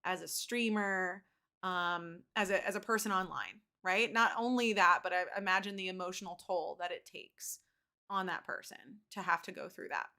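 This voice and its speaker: Woman, bright forceful tone, casual teacher-style commentary, emphatic, repeats words for stress. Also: on the low side, moderate pace. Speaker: teacher commenting on a video for students.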